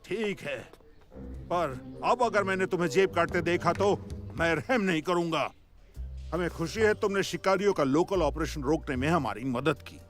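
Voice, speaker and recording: A man voicing a middle-aged, jovial but stern police chief in a Hindi cartoon dub, expressive and authoritative. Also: fairly high pitch, normal speed, natural voice. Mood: serious, warning. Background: music.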